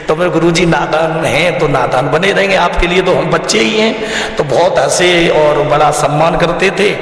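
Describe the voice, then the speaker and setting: Guy with up talking like a village preacher, earnest n repetitive, super echoey room, slightly high voice. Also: a bit bright. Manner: earnest, exhorting, repeats phrases in lists. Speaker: religious storyteller and devotional singer being interviewed. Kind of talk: interview answers in colloquial, preacherly talk.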